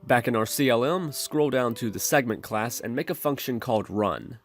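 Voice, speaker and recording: A man narrates a programming screencast as a tutorial host. His voice sits at a medium pitch, and he gives step-by-step instructions with music playing behind him. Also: lively intonation, normal speed.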